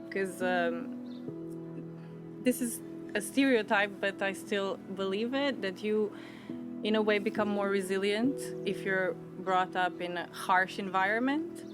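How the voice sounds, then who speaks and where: A woman in her thirties from Serbia with a Serbian accent, whose first language is Serbian. She tells her own story in a reflective, thoughtful way, often restarting her phrases and laughing softly. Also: mid-range voice. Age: 30s. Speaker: interviewee. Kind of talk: personal storytelling. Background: music.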